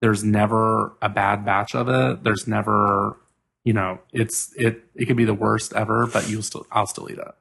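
A man's voice: lowish voice, flat delivery, normal speed, gravelly, a bit bright, breathy edge. A man co-hosting a podcast in casual, joking chat, laughing often and steering the segment. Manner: laughs often, bossy banter. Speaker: podcast co-host.

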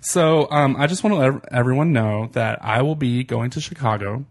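A man's voice: medium pitch, fairly quick. A man co-hosting a casual podcast, chatty and teasing, laughing often. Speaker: podcast co-host.

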